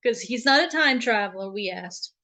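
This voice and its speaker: A woman's voice: fairly high pitch, lively intonation, moderate pace, bright, forceful tone. A woman co-hosting a casual livestream, chatty and informal, reading out introductions with playful asides. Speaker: co-host.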